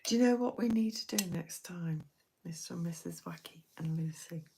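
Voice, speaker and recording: A woman hosting a late-night live stream, talking to her viewers in a soft, half-whispered voice. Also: lowish voice, moderate pace, gravelly, slight background noise.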